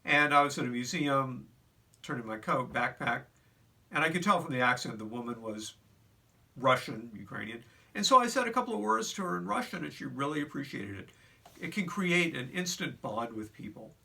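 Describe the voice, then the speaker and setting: A man's voice: mid-range voice, expressive, normal speed, vocal fry, bright, forceful tone, distant mic. An older man telling a reflective personal story in a conversational way, with fillers and restarts.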